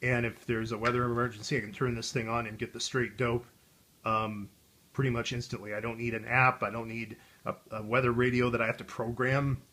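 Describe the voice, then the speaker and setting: An American man chats casually as he hosts a video. His middle-pitched voice rambles along in a wry, riffing way, loose and conversational. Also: little pitch movement, fairly quick, bright, forceful tone. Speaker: video host. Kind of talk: casual chat.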